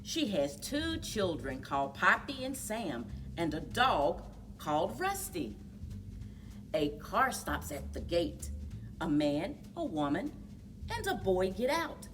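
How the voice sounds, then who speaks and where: Woman reading to children, very animated pitch. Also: recorded from a distance, a little hiss or hum. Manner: deliberate, expressive delivery for young children. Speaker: librarian story time host. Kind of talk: children's read-aloud storytelling.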